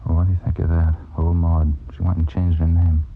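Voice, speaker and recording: A man's voice: low-pitched, flat delivery, a little fast, loud music behind the voice. A man playing an eager, stubborn old circus hand in a radio drama, with folksy, rambling, slangy speech. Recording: dull, faintly noisy vintage radio recording.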